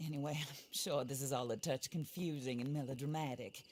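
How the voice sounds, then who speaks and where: A genteel frontier widow, with a very low voice and a composed, commanding way of speaking. She talks formally and deliberately, like someone bent on revenge in a Western game. Faint night insects sit behind her. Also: fairly even intonation, a little mellow, a little hiss or hum. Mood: composed, gracious. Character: vengeful, genteel, commanding widow of the Old West. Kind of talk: game cutscene acting.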